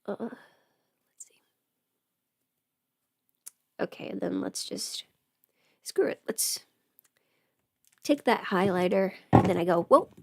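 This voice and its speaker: A woman playing a chatty, playful streamer who rambles casually through her makeup routine, often slipping into hushed asides. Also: medium pitch, expressive, slow pace, vocal fry, long pauses, breathy edge, no echo, clean studio sound, exaggerated voice. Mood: focused, chatty. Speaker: livestreamer doing a get-ready-with-me makeup stream. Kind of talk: casual livestream chat, scripted audio roleplay.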